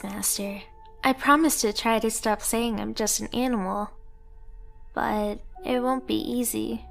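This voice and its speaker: Casting: female actor for submissive, devoted catgirl in ASMR romance. Tender, pleading, unhurried delivery over background music. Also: a little high, creaky. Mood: anxious pleading. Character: devoted, submissive young female catgirl pet, clingy and eager. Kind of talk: scripted ASMR romance roleplay.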